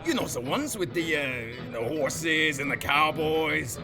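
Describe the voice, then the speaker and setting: Wisecracking male barkeep, theatrical drawl, lively swooping pitch, music underneath, audio drama. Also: unhurried. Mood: wry, gossipy. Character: folksy, wisecracking male space-saloon barkeep, showy salesman. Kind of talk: space western audio drama acting.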